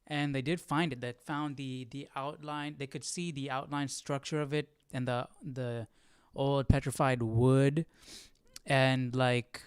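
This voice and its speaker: A man hosting a Bible study livestream, commenting informally and haltingly as he thinks aloud. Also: medium pitch, fairly even intonation, smooth, no echo.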